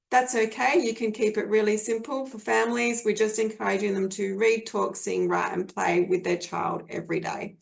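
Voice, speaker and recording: A woman's voice: medium pitch, vocal fry. An Australian woman presenting a webinar on early literacy for parents, explaining things in a friendly way with many fillers and restarts.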